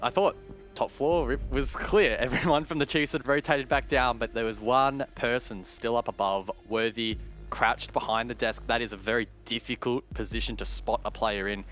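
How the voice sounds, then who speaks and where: A man's voice: medium pitch, moderate pace, fairly bright tone, faint music behind. A man giving live analytical color commentary on an esports match, breaking down plays with occasional fillers. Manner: analytical, thinks aloud with fillers.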